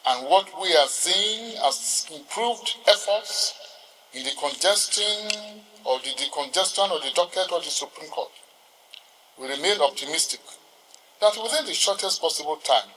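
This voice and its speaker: High-pitched Nigerian man orating in a hall. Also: sharp tone. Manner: oratorical, emphatic, deliberate phrasing with rhetorical repetition. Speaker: senior lawyer addressing a court. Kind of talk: formal ceremonial speech. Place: large courtroom hall. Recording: distant mic, long hall reverb.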